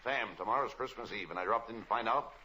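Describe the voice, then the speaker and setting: A man playing a gruff, by-the-book police lieutenant in an old-time radio comedy. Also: on the low side, fast talker, pushed and bright. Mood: businesslike. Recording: dull, noisy old radio recording.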